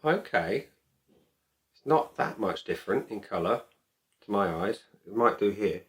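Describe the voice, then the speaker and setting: A man's voice: lowish voice, animated, measured pace. A man talking casually to camera as a beer reviewer, chatty, opinionated and emphatic. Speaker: beer reviewer and video host.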